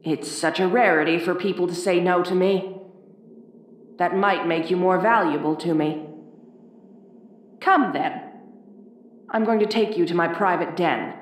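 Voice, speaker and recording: Woman acting as imperious low-voiced ice queen, echoing. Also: a little hiss or hum, lightly acted. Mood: appraising, intrigued. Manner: imperious, deliberate phrasing with disdainful hums. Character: haughty, bossy ice queen, cold and grudgingly intrigued. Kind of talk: audio roleplay addressed to the listener. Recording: roomy, echoing voice recording.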